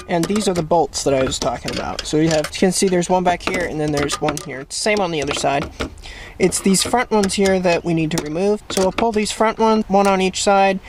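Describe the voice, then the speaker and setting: Higher-voiced man giving a casual DIY tutorial. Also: background noise. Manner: plain-spoken, explanatory. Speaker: host of a how-to video.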